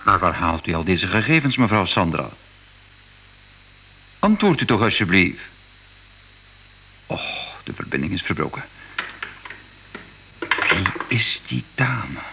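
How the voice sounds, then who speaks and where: A low-pitched male official in a radio drama. He is courteous and eager, with a polite, slightly officious manner. The recording carries a faint hiss. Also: lively intonation, unhurried, vocal fry, long pauses, pushed and bright, heavily acted, poor audio. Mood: puzzled. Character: courteous, eager male monuments inspector and exhibition president. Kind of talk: radio play acting.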